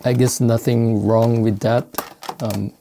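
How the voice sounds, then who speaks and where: A man from the Philippines with a Filipino accent, speaking non-native English in casual, halting unboxing commentary as he searches for words. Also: medium pitch, flat delivery, background noise. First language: Filipino language. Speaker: sneaker unboxing vlogger. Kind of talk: casual unscripted product commentary.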